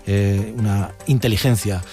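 Male radio host, brisk, faint music bed. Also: lowish voice, steady tone, warm tone. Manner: brisk, steers the talk. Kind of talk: radio presenting.

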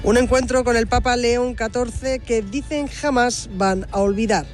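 A woman's voice: a little high, steady tone, measured pace. A woman reading a scripted news report. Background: faint music.

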